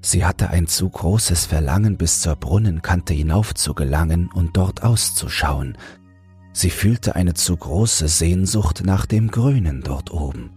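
Very deep-voiced male storyteller. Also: soft background music. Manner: calm, measured storytelling. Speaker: audiobook narrator. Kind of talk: scripted fairy-tale narration for falling asleep.

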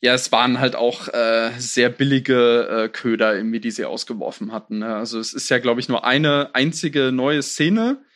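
A man chatting casually and with clear opinions as co-host of a film news show. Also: medium pitch, moderate pace, hardly any pauses, fairly bright tone.

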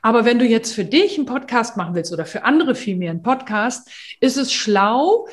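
Middle-aged lady voice coach, lively chatty explainer, slightly high voice. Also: brisk. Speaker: voice and speech coach. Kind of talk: casual podcast conversation.

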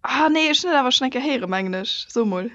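A woman's voice: sits high, animated, fairly quick, fairly bright tone, no echo, lo-fi sound. A woman with a Luxembourgish accent co-hosting a podcast in casual chat, laughing often and breaking off into restarts. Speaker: podcast co-host.

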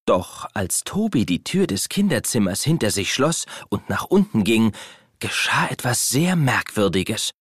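A man narrating a children's audio play in an expressive storytelling manner. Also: on the low side, measured pace, breathy edge, dry recording, clean studio sound.

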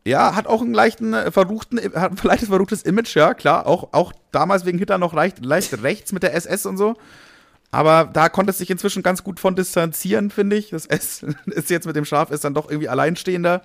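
A man's voice: sits high, brisk, gravelly. A young man co-hosting a podcast in casual, humorous chat, slangy and rambling. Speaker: podcast co-host.

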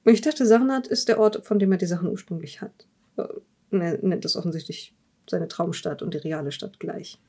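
A woman acting as a curious female investigator in an audio drama, with a soft, mellow voice. She speaks in a measured, thoughtful way, whether reading or questioning. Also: on the low side, gravelly. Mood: intrigued. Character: curious, probing female interviewer examining a dead man's journal. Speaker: interviewer in an audio-drama role-play. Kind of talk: improvised audio drama dialogue with journal reading.

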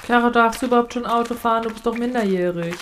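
A woman co-hosting a casual podcast, thinking out loud in long, reflective, trailing sentences, recorded on location at a mine. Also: a little high, quick pace, slight background noise. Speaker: podcast co-host.

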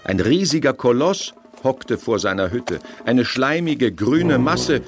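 A man performing as the dramatic, deliberate narrator of a horror audio drama. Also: mid-range voice, sing-song. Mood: ominous. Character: ominous male narrator of a horror tale. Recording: music behind the voice.

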